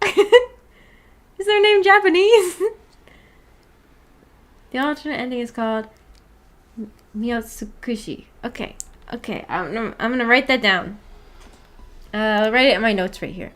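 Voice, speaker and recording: Higher-voiced woman chatting casually on stream. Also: expressive, a little slow, long pauses, a bit bright. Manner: giggly, rambling, self-deprecating. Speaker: livestream host of a visual novel book club. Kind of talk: casual chat, reading and answering viewer comments.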